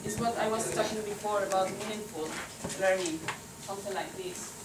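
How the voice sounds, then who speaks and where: A woman leading a workshop, first guiding an imagination exercise, then speaking haltingly with many fillers. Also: mid-range voice. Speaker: workshop presenter. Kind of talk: guided imagery then academic talk. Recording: echoey room from far off, loud noise.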